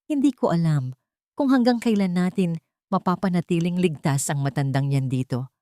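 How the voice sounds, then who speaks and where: A woman's voice: lowish voice, lively intonation, moderate pace, warm tone, no room sound, clean studio sound, natural voice. A woman voicing a weary, burdened old village grandfather, subdued and grave, in an audio story. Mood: troubled.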